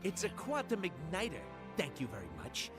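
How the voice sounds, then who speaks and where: A man's voice: on the high side, wildly swooping pitch, normal speed. An actor playing a boastful, sarcastic male raccoon rogue in a game cutscene with music behind.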